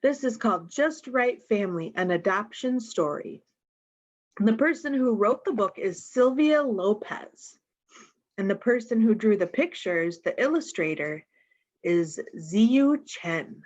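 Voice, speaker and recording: A woman reads picture books to little children at an unhurried pace. She speaks straight to her young listeners with simple questions. The audio is dull and slightly muffled. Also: mid-range voice, expressive, darkish tone. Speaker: storytime reader.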